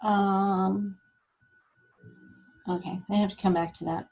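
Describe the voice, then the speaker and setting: A woman giving an informal walkthrough of data, thinking aloud as she goes in a halting way. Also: medium pitch, level tone, a little mellow. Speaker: guest presenter explaining data; cancer research nurse.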